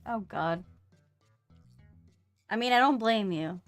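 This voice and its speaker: A woman chatting casually and reacting on a live game stream, profane, teasing and expressive. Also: medium pitch, takes it slowly, soft background music. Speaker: streamer co-playing a visual novel.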